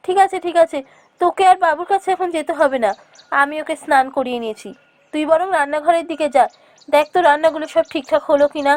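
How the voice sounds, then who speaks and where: A woman's voice: high-pitched, fast talker, close to an everyday voice. A woman voicing a kindly, chiding mistress of a landlord's household in a cartoon, motherly and instructing. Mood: instructing, cautious. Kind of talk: cartoon voice acting.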